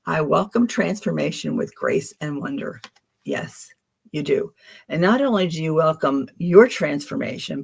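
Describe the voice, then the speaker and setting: Gravelly, husky Philly woman. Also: low voice. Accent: Philadelphia. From Philadelphia. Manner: chatty and affectionate, with frequent ums and restarts. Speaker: tarot card reader hosting a livestream. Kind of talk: casual livestream card readings.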